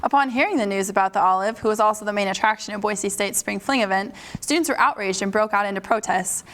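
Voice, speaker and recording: A woman's voice: medium pitch, fast talker, fairly bright tone. A young American woman co-anchoring a scripted satirical student newscast. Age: young adult.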